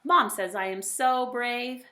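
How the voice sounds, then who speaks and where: Woman voicing a boastful little boy adventurer, pitched up. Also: takes it slowly, pushed and bright. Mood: boastful, excited. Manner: declarative, emphatic boasting. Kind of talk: children's storybook character reading.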